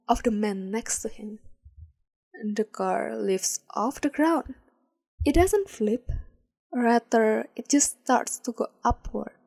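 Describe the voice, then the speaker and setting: A woman reading fan fiction aloud as a podfic, with calm, measured storytelling. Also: on the high side. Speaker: podfic reader.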